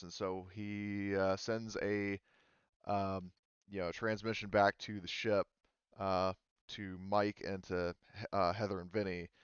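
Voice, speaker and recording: A man working as game master, casually narrating a tabletop game in halting, improvised phrases. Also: on the low side, smooth, no echo, highs cut off.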